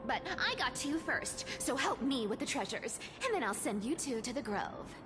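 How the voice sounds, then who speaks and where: An actress voicing a playful, mischievous female trickster in a game, talking in a teasing way with sudden jumps in pitch. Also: big pitch swings, normal speed, over music.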